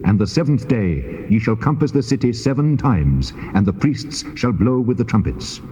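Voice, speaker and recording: An actor playing the Lord God in a dramatized scripture reading, solemn, deliberate and commanding. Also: male voice, lowish voice, dark tone, close to an everyday voice. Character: the Lord God, grave, commanding, ancient divine voice. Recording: long reverb, dull old cassette.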